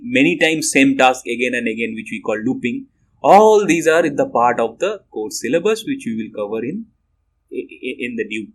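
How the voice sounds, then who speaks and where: A middle-aged man with an Indian English accent, giving a recorded university programming lecture and often restarting his sentences. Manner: rambling with restarts, lively rising and falling pitch.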